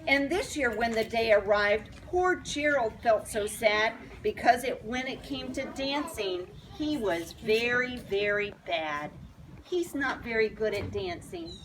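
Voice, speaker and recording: A woman's voice: a little high, lively intonation, a little slow. A woman reading a storybook aloud to young children, expressively and in simple phrasing, with asides. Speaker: storybook reader. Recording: clear background noise.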